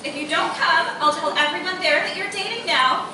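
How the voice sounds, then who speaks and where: Young woman acting peppy curious college girl, high voice, echoey live radio play. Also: a little fast, recorded from a distance. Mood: coaxing. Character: upbeat, curious female college student. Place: live performance room, distant mic. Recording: echoey, noisy live theatre recording from afar.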